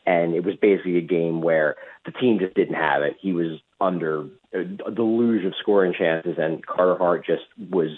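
A man speaking as a hockey reporter and radio guest, giving measured, conversational sports analysis at length over a phone line. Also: deep voice. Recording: muffled tinny phone line.

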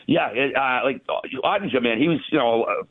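A man's voice: medium pitch, a little fast, breathy edge, dry recording. A man hosting casual sports talk about hockey, rambling informally, heard over a tinny, narrow phone-like line.

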